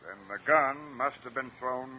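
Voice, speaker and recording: An actor playing a stern, skeptical judge in a vintage radio courtroom drama. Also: male voice, mid-range voice, normal speed, pushed and bright.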